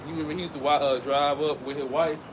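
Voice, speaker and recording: A man's voice: on the high side, steady tone, fast talker. A man teaching outdoors by a street in a loose, conversational way, often restarting his phrases. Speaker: street preacher. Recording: outdoor traffic noise. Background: passing vehicles.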